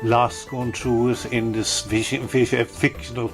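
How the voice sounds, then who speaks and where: A man's voice: medium pitch, little pitch movement, unhurried, faint music behind. A man with an Irish accent asking questions in an informal radio interview.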